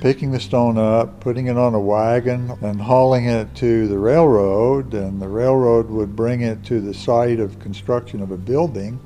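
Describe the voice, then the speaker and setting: A man giving a plain, measured explanation as an interviewed expert. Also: medium pitch, steady tone, mellow, faint music behind.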